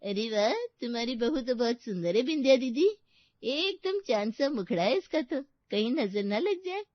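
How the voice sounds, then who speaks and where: A woman's voice: a little high, expressive, normal speed, no echo, lo-fi sound, lightly acted. A woman voicing a gushing, friendly middle-aged neighbour in a family story. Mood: admiring.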